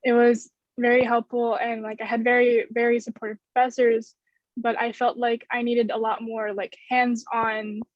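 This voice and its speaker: A woman in her twenties with an American accent, giving measured, explanatory answers in a panel discussion. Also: fairly high pitch, dry recording. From United States. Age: 20s. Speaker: theater student. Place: bedroom, indoors. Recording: muffled video-call audio.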